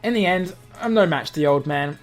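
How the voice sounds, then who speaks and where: A man's voice: fairly high pitch, lively intonation, fairly quick. Male gaming host over music. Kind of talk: reading a visual novel aloud with casual asides.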